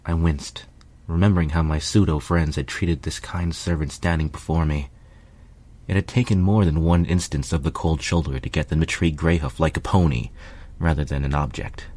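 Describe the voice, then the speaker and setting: A man's voice: a bass voice, fairly even intonation, moderate pace, darkish tone. A man reading a piece of fiction aloud as a scripted narration. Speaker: fan fiction reader, narrator.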